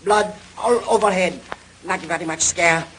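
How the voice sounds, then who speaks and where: Eager servile steward, put-on Japanese accent, broken English, old radio drama, faint noise. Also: male voice, high-pitched, normal speed, a bit bright, low-quality recording. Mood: anxious, obliging. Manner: broken pidgin English, deferential. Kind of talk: old-time radio mystery acting.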